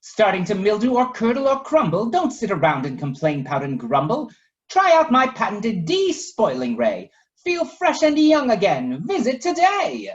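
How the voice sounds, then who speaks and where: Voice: mid-range voice, huge pitch swings, moderate pace, pitched above the performer's own voice. A children's book author voicing an eager female pastry professor who invents gadgets, over a muffled lo-fi stream. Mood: salesy.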